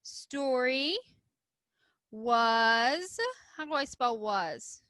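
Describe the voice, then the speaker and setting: A woman's voice: fairly high pitch, very slow, pushed and bright, no echo, clean studio sound. A woman teacher reading aloud and leading a writing lesson for young children, explaining simply and asking them questions. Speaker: primary school teacher.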